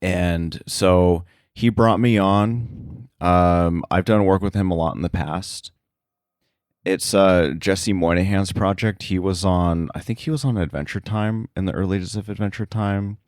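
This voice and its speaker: A man speaking casually as an interview guest about his work, thinking aloud in a halting way. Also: sits low, steady tone, a little mellow, smooth, no echo, studio quality. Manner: halting, thinking aloud, frequent filler likes. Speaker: sound editor, interview guest.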